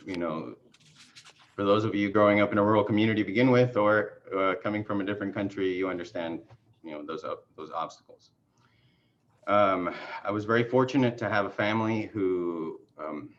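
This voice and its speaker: Deep-voiced man rambling on a video call. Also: dull audio, a little hiss or hum. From Mexico. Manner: rambling, halting with many fillers and restarts. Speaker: party chair candidate. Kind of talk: casual conversational self-introduction.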